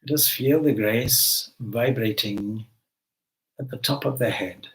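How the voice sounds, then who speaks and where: A man leading a guided meditation as a spiritual teacher, giving gentle instructions with long pauses between phrases. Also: mid-range voice, low-quality recording.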